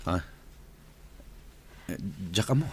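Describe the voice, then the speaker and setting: A man playing a kind, hesitant young gentleman, the son of an employer, in an Ilocano radio drama. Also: slow pace, natural voice. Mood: taken aback.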